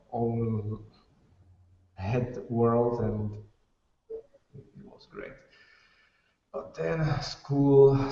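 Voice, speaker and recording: A man from the Czech Republic with a Czech accent, a software developer speaking English as a guest on a video call, halting and restarting often. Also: mid-range voice. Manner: halting, many restarts, soft laughs. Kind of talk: casual interview on a video call. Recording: distant, roomy, dull web-call audio.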